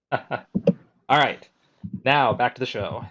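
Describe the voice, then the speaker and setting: A man's voice: mid-range voice, slow, fairly bright tone, muffled recording. A man hosting a technical webinar on a video call, reading chat questions and filling time with self-deprecating jokes. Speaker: webinar host and moderator. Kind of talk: casual moderating and filler talk.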